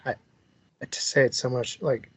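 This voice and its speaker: A male interview host talks in a medium pitch. He is casual and conversational, agreeing with his guests and encouraging them along. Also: steady tone, moderate pace, husky.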